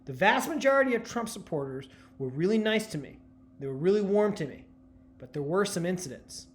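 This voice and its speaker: A man in his thirties from New Jersey, telling stand-up stories with a dry delivery and deadpan punchlines. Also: sits high, a little fast. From New Jersey, USA. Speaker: stand-up comedian and journalist. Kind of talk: stand-up storytelling.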